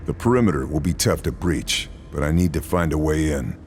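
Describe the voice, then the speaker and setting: An actor playing a determined sniper hero, narrating a mission with dry, clipped lines over cutscene music. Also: male voice, rumbling low, expressive, a little fast, darkish tone, a little hiss or hum. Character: hardened sniper hero, middle-aged man. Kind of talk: game narration.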